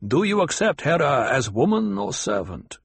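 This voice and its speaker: A man voicing a stern, measured leader of a desert tribe, commanding and deliberate, in an audiobook. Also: fairly high pitch, very animated, vocal fry, husky, dry recording, voice pushed up, exaggerated voice. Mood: formal.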